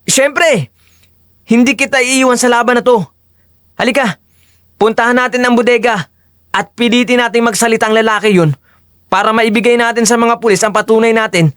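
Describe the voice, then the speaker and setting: Man acting a high-voiced, anxious loyal friend. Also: steady tone, fairly quick, pauses often, fairly bright tone, clean tone, no room sound, studio quality. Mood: alarmed, loyal. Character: Carlito, loyal anxious young male friend working at church. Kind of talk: audio drama acting.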